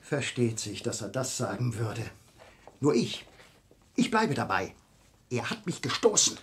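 A man's voice: mid-range voice, very animated, measured pace. An actor playing an agitated, stubborn married man in a radio crime drama, insistent and suspicious in his delivery. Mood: indignant, accusing.

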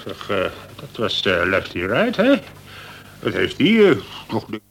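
Creaky, fretful prison governor. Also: male voice, on the low side, wildly swooping pitch, measured pace, a bit bright, slight background noise, full character voice. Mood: distracted. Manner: hesitant, fretful, gruff. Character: worried, irritable colonel, male prison governor. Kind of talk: radio play acting.

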